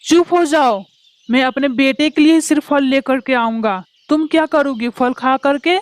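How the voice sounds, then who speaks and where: A woman's voice: high voice, normal speed, dry recording, lightly acted. A woman voicing a stern father bird in a Hindi cartoon. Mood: scornful. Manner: stern, dismissive. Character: harsh, cold father bird who favours his son.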